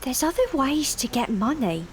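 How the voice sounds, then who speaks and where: A woman's voice: sits high, very animated, moderate pace, slight background noise. An actress playing a teasing, wry, streetwise young woman among London thieves in an audio drama.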